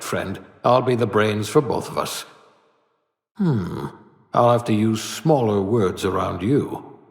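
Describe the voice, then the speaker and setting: Game role: eccentric older male genius, wry and excitable, wildly swooping delivery, echoing reverberant space. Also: lowish voice, creaky, heavily acted. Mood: wry, teasing. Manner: theatrical, wry, teasing, quaintly wordy. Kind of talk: video game character acting. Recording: echoey room with long reverb.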